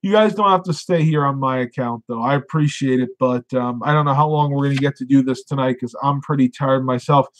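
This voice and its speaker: A man's voice: mid-range voice, fairly quick, hardly any pauses. A man hosting a casual live stream, chatting and reading viewer comments with lots of fillers, sounding congested as he loses his voice.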